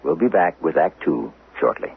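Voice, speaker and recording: Deep-voiced male radio mystery host. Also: sing-song, moderate pace, creaky, very noisy. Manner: portentous, rhetorical. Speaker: radio drama host and narrator. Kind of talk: 1970s radio mystery scripted narration. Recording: muffled, humming old radio broadcast.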